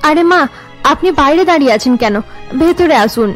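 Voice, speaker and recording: A woman's voice: high voice, lively intonation, moderate pace, faint music behind. A woman voicing a kind, concerned and respectful daughter-in-law in a cartoon family drama. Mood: surprised, concerned. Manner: caring, solicitous. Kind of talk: cartoon family melodrama acting.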